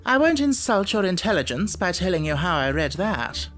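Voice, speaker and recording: Man playing a precise, urbane gentleman detective. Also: high-pitched, sing-song, normal speed, faint music behind. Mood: confident. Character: brilliant gentleman detective, self-assured, precise, wryly amused.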